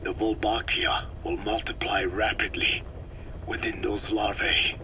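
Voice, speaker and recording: A frail, cryptic elder scientist for a video game. His low voice is breathy and raspy, and he speaks very slowly, in formal, deliberate phrases. Clear noise runs under the taped recording. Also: level tone, sharp tone, poor audio. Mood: grave, commanding. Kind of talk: dramatic video game voice acting, expository.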